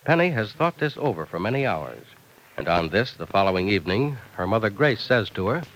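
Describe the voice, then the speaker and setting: An American man announcing in classic old-time radio style, delivering scripted pitches and narration with polished emphasis. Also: sing-song, brisk, fairly bright tone, rough recording.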